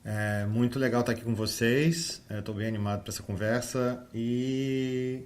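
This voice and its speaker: A man's voice: medium pitch, fairly even intonation, moderate pace, a little mellow. A man hosting a podcast from an office, talking in a friendly, hesitant way with many fillers. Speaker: podcast host.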